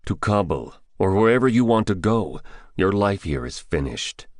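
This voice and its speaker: A man's voice: lowish voice, big pitch swings, moderate pace, darkish tone, no echo, studio quality, pitched above the performer's own voice. A man voicing a curt, commanding soldier. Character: terse, commanding male soldier operative. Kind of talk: audiobook character voice.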